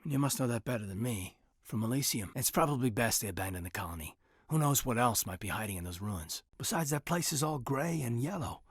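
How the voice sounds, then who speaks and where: Earnest reserved space marine officer in a video game, kinda husky, pitch moves around a lot, wry n thoughtful. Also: male voice, expressive, brisk, no echo, clean studio sound. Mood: somber, regretful. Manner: sincere, thoughtful, dutiful, wry understatement. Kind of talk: video game dialogue acting.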